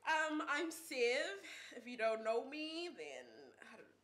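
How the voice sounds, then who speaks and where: A woman's voice: sits high, lively intonation, slow, fairly bright tone. A young woman hosting a casual group chat in a living room, chatty and laughing often. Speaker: host. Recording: echoey room.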